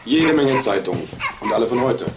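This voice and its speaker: A man's voice: medium pitch, expressive, brisk, lightly acted. An actor playing a dry, sceptical male detective sidekick in a German crime radio drama. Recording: very noisy background.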